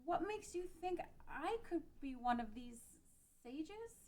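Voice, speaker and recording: A woman's voice: high-pitched, lively intonation, slow pace, darkish tone, slight background noise. A woman playing a friendly, hesitant young farm girl in an amateur fantasy table read, chatty and unsure. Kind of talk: amateur table read of a game fan script.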